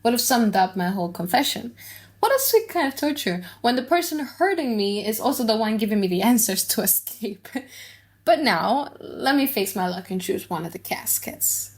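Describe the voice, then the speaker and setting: A woman's voice: on the high side, big pitch swings, moderate pace. A woman voicing an earnest, impatient male suitor tormented by love in an audiobook play, sighing as she speaks. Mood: ardent.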